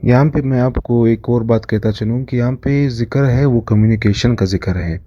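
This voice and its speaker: A man with a Pakistani accent giving an informal law lesson, explaining in a halting, repetitive way. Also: mid-range voice, mellow, highs cut off. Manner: halting, repetitive, slows markedly when reading English. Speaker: law lecturer.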